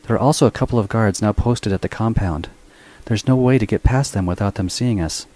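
A man voicing a sharp-tongued woman bounty hunter with a blunt, mocking manner. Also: lowish voice, a little fast, pitched above the performer's own voice, natural voice. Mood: businesslike.

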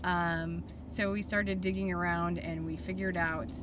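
Woman chatting casually for a family vlog, really loud noise around her, like outside or in a car. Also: fairly low pitch, fairly even intonation, a little slow. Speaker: vlog host and parent. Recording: very noisy outdoor and vehicle ambience.

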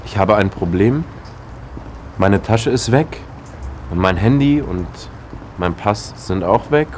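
A polite Spanish newcomer. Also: male voice, lowish voice, expressive, darkish tone, slight background noise. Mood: worried. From Spain. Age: 20s. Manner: slow simple learner's German, short careful sentences. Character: young Spanish man new in Germany, polite, searching. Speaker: lead character. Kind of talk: scripted German-learning drama.

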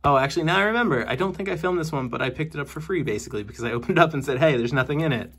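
A man's voice: a little high, racing, fairly bright tone, slightly rough. A young man casually talking through the games he brought home, wry and jokey as he goes. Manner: chatty, wry, jokey asides. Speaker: video game collector vlogger. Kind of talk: casual unboxing commentary. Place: indoors at home.

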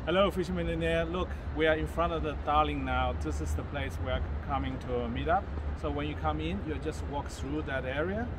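A middle-aged man hosting a casual walk-and-talk video outdoors, upbeat and promotional, with non-native phrasing and pauses. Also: fairly high pitch. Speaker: host of a crypto video channel. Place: outdoors by a city road and walkway. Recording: noisy outdoor street with traffic.

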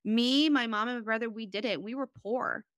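A woman in her thirties, born in Bosnia, tells her own story in an earnest, rambling way. Her voice is bright and smooth. It is recorded close and dry on a studio podcast mic. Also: fairly high pitch, moderate pace, no room sound. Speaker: podcast guest. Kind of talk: candid personal conversation.

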